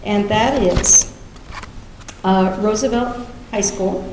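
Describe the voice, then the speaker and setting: A woman's voice: mid-range voice, takes it slowly, a little mellow. A middle-aged woman hosting an event, addressing an audience from a podium. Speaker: event host. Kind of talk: welcoming public address to an audience. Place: podium in a lecture hall. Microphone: gooseneck podium microphone. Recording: echoey hall, crowd noise.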